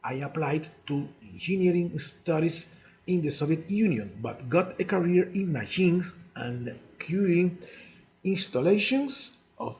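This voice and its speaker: A man in his sixties from Cuba, a native Spanish speaker with a Cuban accent, telling his life story in deliberate, halting non-native English. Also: on the high side, lively intonation, a little mellow, rough recording. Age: 60s. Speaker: chess player narrating own life story. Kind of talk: autobiographical monologue.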